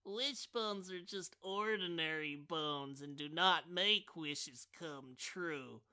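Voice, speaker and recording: A man's voice: slow pace, bright, forceful tone, clean tone, heavily acted. A man doing eager wishing children. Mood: let down. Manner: raised childlike pitch, eager then let down.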